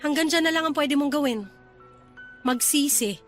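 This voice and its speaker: A woman's voice: sits high, normal speed, fairly bright tone, soft background music. An actress playing a hurt, defiant young woman rebuffing her ex-lover in a radio drama, sarcastic and reproachful. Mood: bitter. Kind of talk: Tagalog radio drama acting.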